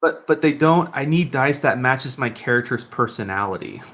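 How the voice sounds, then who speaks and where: A man's voice: medium pitch, animated, normal speed, fairly bright tone. A man co-hosting a podcast in casual, rambling, jokey conversation. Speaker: podcast co-host.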